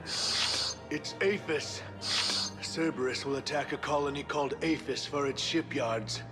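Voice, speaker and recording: A man's voice: mid-range voice, unhurried, close to an everyday voice. A man voicing a shifty, cornered alien ambassador in a video game, pleading and evasive in formal phrases. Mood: defeated. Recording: music behind the voice.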